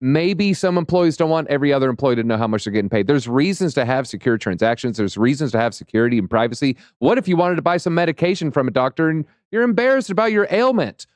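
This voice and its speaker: A man hosting a casual crypto market chat, playful and rambling, slightly hoarse. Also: on the high side, animated, fast talker, few pauses, dry recording, clean studio sound. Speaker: host.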